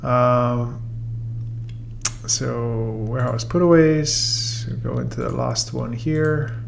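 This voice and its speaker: A man in his thirties giving a relaxed software tutorial, talking through the steps with plenty of fillers. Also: mid-range voice, takes it slowly, vocal fry, a little hiss or hum. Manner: relaxed and explanatory, with many fillers. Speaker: software trainer. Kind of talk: casual screen-recorded software tutorial. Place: room with a brick wall and whiteboard, then voice-over on screen recording.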